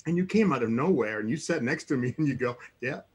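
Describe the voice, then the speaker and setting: Cuban-born man, guest, lively swinging pitch, slightly husky voice, excitable storyteller. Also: fast talker. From Cuba. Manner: excitable storyteller, bursts into loud high cries. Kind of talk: casual chat.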